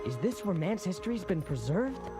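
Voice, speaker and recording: Excitable, impulsive cartoon boy hero. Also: high voice, moderate pace. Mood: curious. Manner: excitable, questioning. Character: eager, impulsive boy hero, curious and indignant. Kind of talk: animated series acting. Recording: loud music under the voice.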